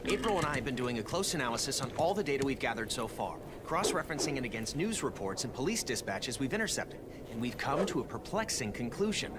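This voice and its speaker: Man playing an animated, brainy teenage techie. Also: mid-range voice, quick pace, very noisy, natural voice. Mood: earnest. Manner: precise, wordy, analytical. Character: brainy, earnest teenage male mutant turtle techie. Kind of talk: video game cartoon acting.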